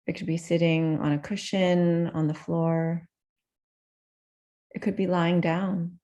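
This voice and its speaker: A woman leading a guided meditation in a gentle, unhurried manner with soft pauses between phrases. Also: fairly low pitch, level tone, highs cut off.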